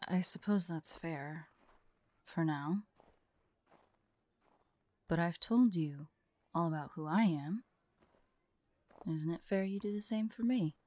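A woman playing a forlorn, vulnerable young huntress in an intimate audio roleplay, speaking softly and haltingly, often drifting into whispers. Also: on the low side, dry recording. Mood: gentle, earnest. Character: forlorn young woman hunter, tearful, vulnerable, guarded, affectionate.

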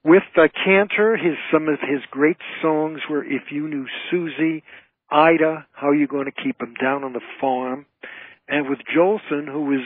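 A man's voice: mid-range voice, slightly rough, dry recording. A man speaking as an interview guest, an entertainer who makes his points emphatically in orderly phrases. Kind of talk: conversational radio interview. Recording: narrow, tinny phone line.

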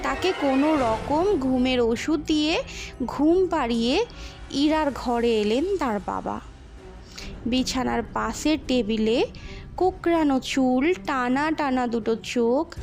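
A woman narrating a scripted ghost story in an expressive, dramatic storytelling manner. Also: high-pitched, slow pace, soft background music.

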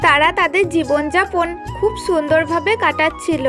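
A woman's voice: very high-pitched, moderate pace, music in the background. A woman storyteller reading scripted narration for children's cartoon tales.

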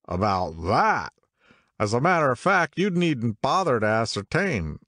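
A man voicing an excitable, tipsy middle-aged man who insists over and over, in a much higher voice than his own. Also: measured pace, dry recording, clean studio sound. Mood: excited insistent.

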